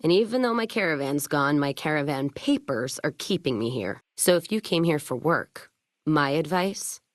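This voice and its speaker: Hard-drinking caravan woman, low voice, blunt, sardonic, world-weary, video game dialogue, very dry close sound. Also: no echo. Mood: stern, blunt. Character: tough, hard-drinking, foul-mouthed, world-weary female caravan owner.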